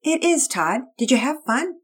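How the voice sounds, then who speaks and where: A woman's voice: sits high, sing-song, moderate pace, pitched above the performer's own voice. A woman voicing a polite, friendly neighbor lady in a read-aloud story.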